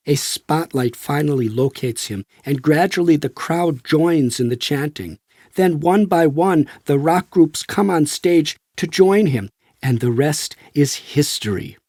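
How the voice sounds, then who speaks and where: A man voicing a friendly, enthusiastic American devotee in audiobook dialogue, with a warm, animated, admiring manner. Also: fairly high pitch, no echo, studio quality.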